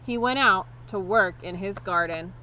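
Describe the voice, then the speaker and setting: Woman teacher reading a kids book, bright voice, super expressive, some background noise. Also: mid-range voice, rough recording. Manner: animated, deliberate emphasis on key words. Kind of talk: expressive children's storybook narration.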